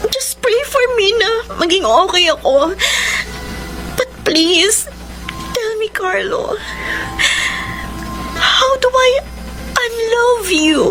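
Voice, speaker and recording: A woman's voice: extremely high pitch, expressive, takes it slowly, long pauses, fairly bright tone, exaggerated voice, rough recording. A young woman playing a lovelorn, earnest older sister in a Tagalog radio romance, confessing with emotion and mixing in English. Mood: tearful confession. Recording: music under the voice.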